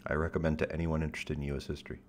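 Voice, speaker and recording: A man hosting a livestream in casual chat, with a deep radio-style voice, rambling in stop-start phrases with a wry, self-deprecating tone and casual swearing. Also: little pitch movement, rapid-fire, darkish tone, a little hiss or hum. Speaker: livestream host.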